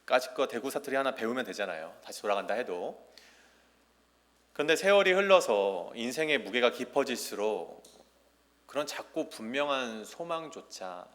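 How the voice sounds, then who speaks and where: A man's voice: mid-range voice, a bit bright. A man in his thirties from South Korea, a pastor giving a sermon with personal storytelling in a church. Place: large church sanctuary. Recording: echoing church hall with long reverb.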